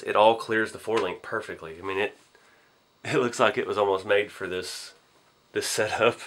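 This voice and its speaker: A man with a low voice and a drawl talks through his hobby build in a home garage. He sounds a little hoarse from a cold. He rambles in a laid-back way, often trailing off with ums and shrugging uncertainty. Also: fairly bright tone. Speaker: RC hobby channel host. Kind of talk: casual build walkthrough.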